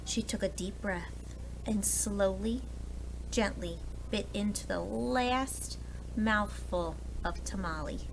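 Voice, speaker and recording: A woman's voice: mid-range voice, slow pace, gravelly, slight background noise, rough recording. A woman reading a children's storybook aloud expressively, like a preschool teacher addressing young listeners. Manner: explains words and asks listeners questions.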